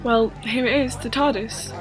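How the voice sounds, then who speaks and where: A woman's voice: on the high side, steady tone, normal speed, lightly acted. A woman playing an earnest, bewildered young companion in an audio drama, a little hesitant. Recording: music behind.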